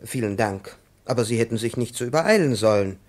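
An actor playing a timid, over-polite elderly professor in a 1950s radio play, halting and profusely courteous. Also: male voice, mid-range voice, expressive, a little mellow, lightly acted. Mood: apologetic, courteous. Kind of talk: 1950s German-language radio play acting. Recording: 1950s radio drama recording.

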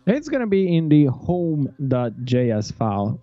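A man's voice: a little high, sing-song, measured pace, dry recording, muffled recording. A man co-hosting a live coding stream, making brief, casual remarks.

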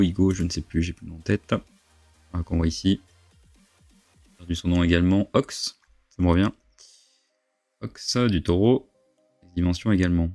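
A man's voice: low-pitched, a little mellow, no room sound. A man in his thirties with a congested nose from a cold, giving hesitant, casual game commentary into a close desk microphone. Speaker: gaming video host. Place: indoor home streaming setup. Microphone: large desk microphone, close.

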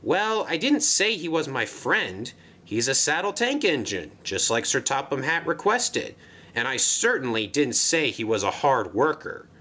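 A man's voice: medium pitch, very animated, unhurried, pushed and bright, full character voice. A man voicing a polite, easygoing male tank engine who speaks in a courteous, dry way.

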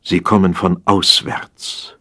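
A man's voice: low-pitched, level tone, takes it slowly, bright, forceful tone, exaggerated voice. A man voicing a practical, resigned and exasperated wife in a dry, declarative manner, in an audiobook satire. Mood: puzzled.

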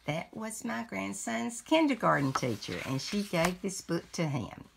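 An older woman hosts a read-along for young children. She takes the words slowly and deliberately, one at a time, with pitch that swings up and down. Her voice has a creaky edge. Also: big pitch swings, noisy background. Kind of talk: children's picture book read-aloud. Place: indoors at home.

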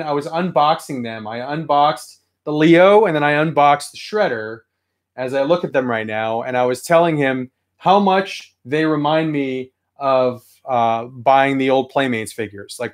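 A man's voice: mid-range voice, expressive, moderate pace. A man in his thirties, getting over a cold, chatting casually to a live stream chat from a toy-filled room at home. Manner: rambling, chatty, reads chat aloud. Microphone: webcam at desk.

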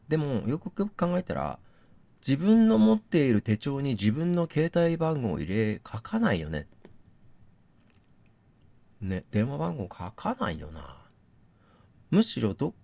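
A man doing gaming commentary, thinking out loud and reasoning step by step, mixed with reading text aloud. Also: mid-range voice, vocal fry. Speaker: game streamer reading on-screen text aloud.

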